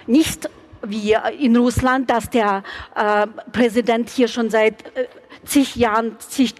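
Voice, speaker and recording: A woman's voice: a little high, steady tone, takes it slowly. A woman, a panelist in a live debate, speaking earnestly with many fillers and restarts. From Ukraine. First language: Ukrainian and Russian. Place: church before an audience.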